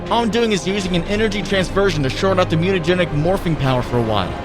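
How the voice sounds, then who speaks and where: Guy playing young wisecracking superhero, kinda high voice, wry and earnest, comic dub w music behind. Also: lively intonation, fast talker. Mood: determined. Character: wisecracking young adult male superhero, self-doubting, earnest. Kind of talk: dramatic comic dub acting with inner monologue.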